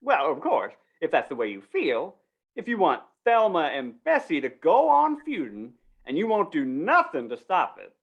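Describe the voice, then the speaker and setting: A woman's voice: low-pitched, huge pitch swings, moderate pace, slightly rough, dull audio, exaggerated voice. A woman acting a flustered, wheedling small-town male undertaker in a 1950s-style Western radio play, stammering in rustic speech. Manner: stammering, pleading, rustic grammar.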